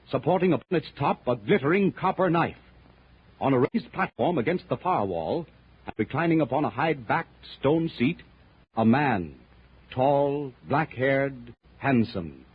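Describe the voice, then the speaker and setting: A man narrating a 1930s radio adventure serial in a measured, portentous, theatrical style. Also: mid-range voice, husky. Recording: muffled old 1930s radio recording.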